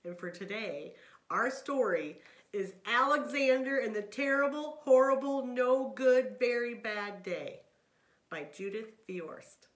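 A woman's voice: fairly high pitch, takes it slowly. A woman reading a children's picture book aloud as a library story time host.

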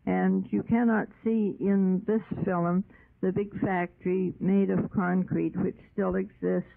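A thin, phone-like recording carries a woman's slow commentary on old footage. Her voice has a gravelly creak as she describes each scene informally. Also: mid-range voice. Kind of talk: unscripted descriptive commentary with recollections. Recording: narrow phone-like, old radio sound.

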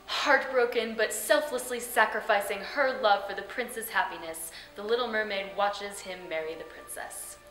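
A woman's voice: medium pitch, a bit bright. A young woman hosting with scripted, theatrical comedic storytelling narration. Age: young adult. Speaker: host. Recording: roomy sound with background music. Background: music.

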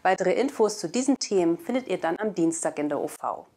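A woman's voice: lowish voice, animated, brisk, mellow. A young woman presenting scripted news in a studio on a lapel mic. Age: young adult. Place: indoor studio.

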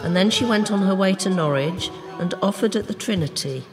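A narrator giving a measured, solemn dramatic reading of an old religious tale. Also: mid-range voice. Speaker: storyteller, narrator. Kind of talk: dramatic reading of a medieval book, storytelling. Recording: music behind the voice. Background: plucked guitar music.

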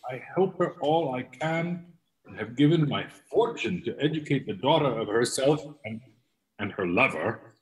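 A man reading a meek, wounded but proud hanger-on in an amateur play, earnest and halting, over a video call. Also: medium pitch, animated, close to an everyday voice. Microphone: video call microphone. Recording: distant video-call microphone.

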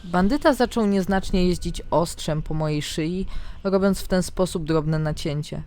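A woman narrating a scripted fantasy diary in the first person, with dramatic, expressive storytelling. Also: on the low side, moderate pace. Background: background music.

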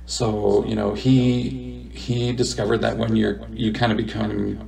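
Gravelly male podcast host. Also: on the low side, flat delivery, a little slow, poor audio. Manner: reflective, searching for words. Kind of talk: casual interview talk.

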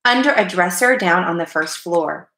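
A woman's voice: fairly low pitch, expressive, moderate pace, bright, forceful tone, reverberant. A woman voicing a proud, eager young boy mouse from a children's storybook. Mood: modest. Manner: eager, boastful.

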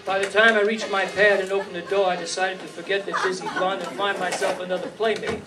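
High-voiced wisecracking noir detective, older man acting. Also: flat delivery, quick pace, natural voice. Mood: wry narrating. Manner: slangy, wry. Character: wisecracking hard-boiled male private eye, world-weary. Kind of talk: 1950s noir radio acting. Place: staged reading in a community hall. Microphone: stand microphone, heard from a far camera. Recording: distant room mic, music.

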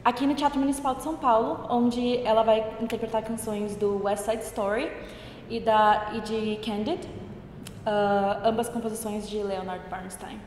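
A woman's voice: fairly high pitch, steady tone, moderate pace, a little mellow. A young woman from Brazil presenting a guest and asking interview questions, recorded indoors. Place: room with high windows, indoors. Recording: echoey room, reverb, faint noise.

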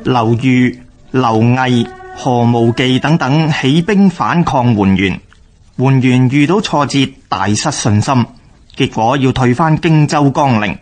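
Measured Cantonese male radio narrator, mid-pitched. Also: a little mellow. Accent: Hong Kong Cantonese. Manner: measured, expository. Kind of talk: scripted history narration for radio.

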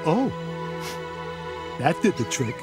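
Nervous soft-hearted male elephant, dramatic, animated game, cavernous reverb, clear music underneath. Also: a little high, slow pace. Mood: whiny, fretful. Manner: dramatic, fretful. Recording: reverberant cave sound with music behind.

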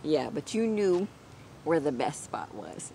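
A woman's voice: deep voice, sing-song, takes it slowly, warm tone. A middle-aged woman vlogger telling a personal story casually to a camera outdoors. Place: outdoors in a home garden. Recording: clear outdoor ambient noise.

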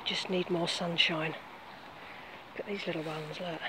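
A woman's voice: fairly low pitch, fairly even intonation, measured pace, background noise. A middle-aged woman chatting casually as she walks outdoors on a grassy field, pointing things out, recorded on a phone held close. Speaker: vlogger. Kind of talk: casual walk-and-talk vlog. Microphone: phone camera held close.

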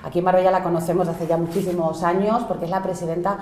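Middle-aged woman interviewer with a Spanish accent; friendly and probing, lowish voice, roomy sound. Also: flat delivery, fast, darkish tone, slight background noise. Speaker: TV host. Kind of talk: interviewing and presenting. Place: indoor office room. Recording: echoey room.

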